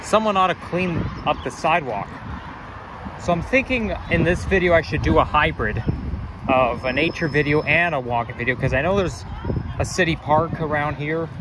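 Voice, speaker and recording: A man's voice: a little high, normal speed. A man filming a casual walking vlog, chatting in a rambling, self-correcting way as he walks outdoors with a handheld camera. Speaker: vlogger. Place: outdoors on city sidewalks near traffic. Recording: outdoor street noise behind.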